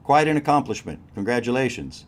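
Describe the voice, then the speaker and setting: A man's voice: mid-range voice, big pitch swings, brisk. A man hosting a cruise news show and interviewing a guest, talking in a chatty way straight to his listeners. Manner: chatty, addresses crew members directly. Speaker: host.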